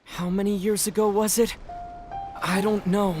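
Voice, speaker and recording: An earnest young traveler recounting his past in a quiet, steady way. His voice is fairly high for a man. Soft music plays beneath. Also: a little slow, in a large hall. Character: young male traveler searching for his sister. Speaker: video game character.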